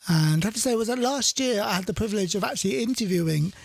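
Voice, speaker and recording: A man's voice: sits high, expressive, fast talker, gravelly. A man presenting a radio show casually, chatty and rambling, often correcting himself mid-sentence. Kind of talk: casual radio presenting between soul songs.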